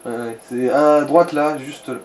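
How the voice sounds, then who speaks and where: A man's voice: medium pitch, unhurried, a little hiss or hum. A man giving directions and advice in a casual gaming chat, informal and peppered with crude slang.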